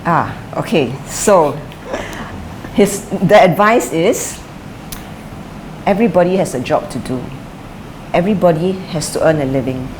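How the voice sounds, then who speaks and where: Interview subject, middle-aged woman, lowish voice, measured and reflective, faint hiss behind. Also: darkish tone, rough recording. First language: English is her first language; Chinese is her second. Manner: thoughtful, with frequent fillers and restarts. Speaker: interviewee, former sprinter and coach. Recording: light background noise.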